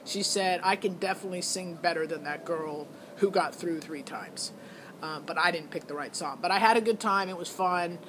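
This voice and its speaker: A woman's voice: on the low side, moderate pace, noisy background. A middle-aged woman giving a casual, rambling review as a parent, thinking aloud in a hesitant, matter-of-fact way. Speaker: parent vlogger reviewing a singing show audition.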